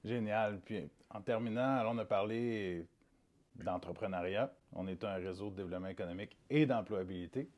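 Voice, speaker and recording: Friendly male interviewer, slightly low voice, keeps his questions short. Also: a little fast, darkish tone, muffled recording. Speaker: interviewer, organization CEO.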